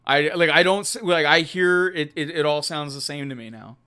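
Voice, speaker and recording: Bright-voiced rambling man. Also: fairly high pitch, normal speed, smooth. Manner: rambling, self-deprecating, laughs often. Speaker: host of a live stream show. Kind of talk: casual live stream chat. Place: quiet home room. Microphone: USB condenser mic.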